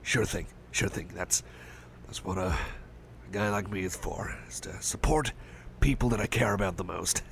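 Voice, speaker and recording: Soft-spoken stammering man, gravelly and low. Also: normal speed, slight background noise. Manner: stammering, rambling, gentle and reassuring. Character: caring friendly male cyborg buddy. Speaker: ASMR roleplay performer. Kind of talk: soft-spoken ASMR roleplay.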